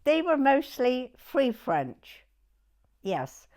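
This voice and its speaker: A woman in her nineties with a southern English accent, reminiscing in an interview in a halting way, pausing to recollect. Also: high voice, very animated, darkish tone. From southern England. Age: 90s. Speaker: oral history interviewee.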